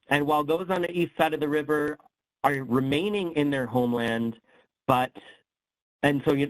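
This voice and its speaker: A man's voice: fairly high pitch, no room sound, low-quality recording. A man giving an online history lecture, explaining with frequent ums and self-corrections as he loses his voice. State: losing his voice. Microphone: computer mic on a video call.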